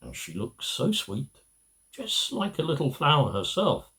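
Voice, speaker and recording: A middle-aged man reading a children's storybook aloud, with expressive, deliberate phrasing and pauses. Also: a little high. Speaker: amateur reader.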